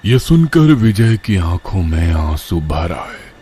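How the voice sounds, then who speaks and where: A man's voice: deep voice, sing-song, takes it slowly, dark tone, slightly rough. A man narrating a scripted horror story as its storyteller.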